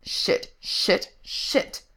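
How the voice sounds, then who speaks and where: A woman's voice: lowish voice, takes it slowly, bright, forceful tone. A woman voicing a snappish, sarcastic, worried male rebel fighter in an audiobook story. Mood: shaken. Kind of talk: audiobook character dialogue.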